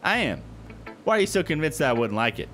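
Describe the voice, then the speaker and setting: A man's voice: on the high side, big pitch swings, quick pace, a bit bright. A man giving casual let's-play commentary and reading visual novel dialogue aloud. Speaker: gaming video host. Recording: game music under the voice. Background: game music.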